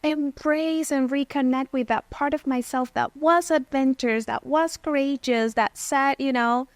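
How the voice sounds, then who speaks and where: A woman in her thirties from Venezuela, a therapist, telling her story thoughtfully with many pauses and "um"s; Spanish is her first language. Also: sits high, no room sound. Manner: thoughtful, frequent "um" and "you know". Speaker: therapist guest. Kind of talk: reflective personal storytelling.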